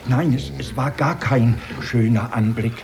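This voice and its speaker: An actor playing a humble, nervous, long-winded poor Russian merchant in a children's radio play. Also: male voice, mid-range voice, fairly even intonation, creaky, music in the background. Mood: nervous, eager. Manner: long-winded, stammering when hurried.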